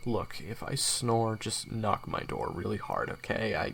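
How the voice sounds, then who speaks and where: A man's voice: lowish voice, gravelly, a bit bright, slight background noise. A man playing a cocky, laid-back young assassin in an audio roleplay, talking in slangy, sweary, joking banter. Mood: casual, concerned. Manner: slangy, sweary, joking, hesitant drawn-out phrasing. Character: cocky, laid-back male assassin roommate, secretly soft.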